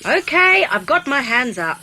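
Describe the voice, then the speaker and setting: A woman playing an impatient, feisty armed character in a science fiction audio drama, sharp and questioning. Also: high voice, very animated, a little slow, background noise. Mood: alarmed, defiant.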